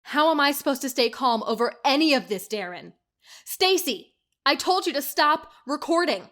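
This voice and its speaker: A woman's voice: high-pitched, lively intonation, normal speed, fairly bright tone. A woman playing an irate, sarcastic, demanding bride in an audio drama. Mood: sharp, demanding.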